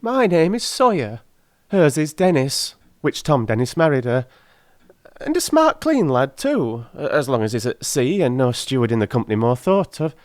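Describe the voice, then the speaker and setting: A man's voice: medium pitch, big pitch swings, normal speed, darkish tone, no echo, studio quality, pitched above the performer's own voice, lightly acted. A man voicing a garrulous, wheedling old working-class London woman who mumbles and rambles in an audiobook. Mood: guarded, garrulous. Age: older. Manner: mumbling, rambling, effusively grateful.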